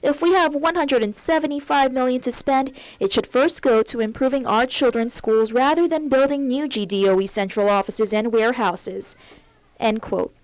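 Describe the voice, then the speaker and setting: A woman with a fairly high voice narrates a scripted television news report. She speaks as a reporter presenting a story to viewers. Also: normal speed, no echo, rough recording.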